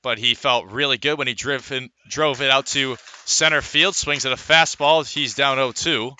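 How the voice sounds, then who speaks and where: A man calling live baseball play-by-play as a radio announcer, filling the gaps between pitches with measured runs of stats. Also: steady tone, pushed and bright. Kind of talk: live sports play-by-play commentary.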